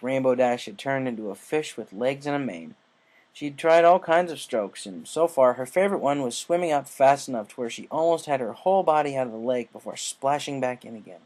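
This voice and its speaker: A man's voice: medium pitch, a little mellow. A man narrating a written story, reading from the text as a storyteller.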